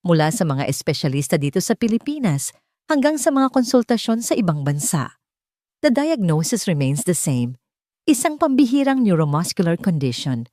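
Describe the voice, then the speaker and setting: A woman with a Filipino accent narrating a dramatic story in a measured, solemn, phrase-by-phrase way. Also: fairly low pitch, very animated, warm tone, dry recording, clean studio sound. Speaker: audiobook narrator.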